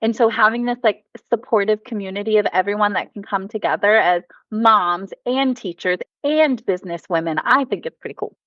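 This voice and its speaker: A woman's voice: mid-range voice, fairly quick, few pauses, no room sound, dull audio. An American woman, a mom and former teacher, talking enthusiastically as a podcast guest. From United States. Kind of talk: casual podcast conversation.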